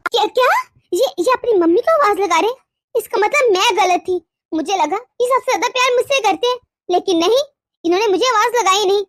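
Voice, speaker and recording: एक औरत घरेलू मेलोड्रामा कहानी में जलन और चोट से भरी, रूठी, हुकूमत चाहने वाली जवान पत्नी का किरदार नाटकीय अंदाज़ में, शब्द दोहराते हुए निभा रही है।. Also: female voice, very high-pitched, brisk. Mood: angry, hurt. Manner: dramatic, repeats words when upset. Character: young wife, jealous, wounded, sulky and controlling. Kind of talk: melodramatic domestic story acting.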